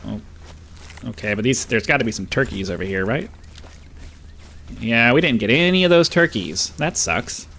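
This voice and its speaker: A man's voice: mid-range voice, animated, moderate pace, pauses often, a bit bright, slight background noise. A man hosting a gaming video with casual, easygoing hunting game commentary, thinking aloud in short bursts close to the microphone.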